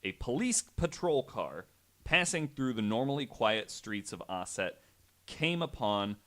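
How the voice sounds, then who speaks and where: A man's voice: mid-range voice, sing-song, unhurried, fairly bright tone. A man hosting a podcast, telling a true story in a conversational way with wry, incredulous asides. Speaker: podcast host.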